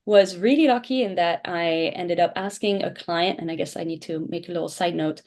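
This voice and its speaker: A woman whose first language is French speaks in a slightly low voice as a podcast guest. She talks in a chatty, animated way and often breaks into laughter. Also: a little fast. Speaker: podcast guest, shoe brand founder. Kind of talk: casual interview chat.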